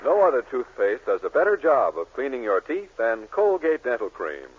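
A man's voice: mid-range voice, huge pitch swings, moderate pace, background noise. An American man reading a scripted 1940s radio commercial on an old, narrow recording. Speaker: commercial announcer.

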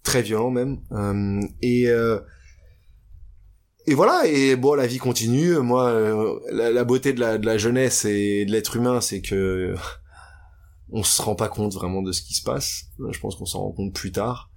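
Young guy, lowish voice, open and halting storyteller. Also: darkish tone. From France. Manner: candid, hesitant, many fillers and restarts. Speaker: guest. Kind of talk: casual interview, personal story.

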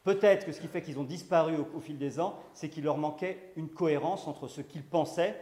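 A man's voice: on the high side, moderate pace, dark tone. A man giving a conference talk, hesitant with frequent restarts and fillers, pushing hard on key words. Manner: emphatic, rising on key words. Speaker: lecturer. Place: conference hall. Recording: echoey room.

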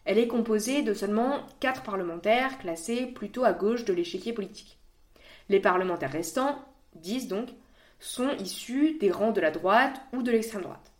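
Clear podcast narration by a woman. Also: mid-range voice, moderate pace. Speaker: podcast host. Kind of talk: scripted explanatory podcast narration.

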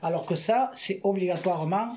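A man's voice: high-pitched, fairly quick, a little mellow. An older retired man who volunteers at a village library, speaking wryly in short emphatic bursts in a casual interview.

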